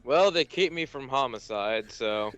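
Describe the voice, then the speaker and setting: A man with a bright, clear voice tosses out crude, joking remarks as a casual co-host. The recording is dry and a little dull, with music behind him. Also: mid-range voice, animated, a little slow, dry recording. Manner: crude, sweary interjections. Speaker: let's play co-host.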